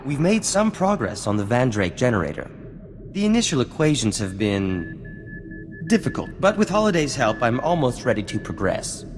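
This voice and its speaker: Flamboyant, vain scientist played by a man, swooping pitch. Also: sing-song, normal speed, big-room sound, lightly acted. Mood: wheedling, courtly. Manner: grandiose, florid, courtly phrasing. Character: flamboyant, vain, charming male scientist and self-styled genius. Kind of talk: scripted video game acting. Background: music.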